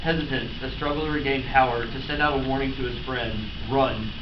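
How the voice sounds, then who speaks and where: A man's voice: medium pitch, steady tone, fairly quick, recorded from a distance. A man reading stories aloud to a group in a playful, mock-dramatic storytelling style. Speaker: reader of fan fiction. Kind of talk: amused live read-aloud of comic stories to a group. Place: echoey room with a laughing group. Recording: noisy, echoey, muffled room recording from afar.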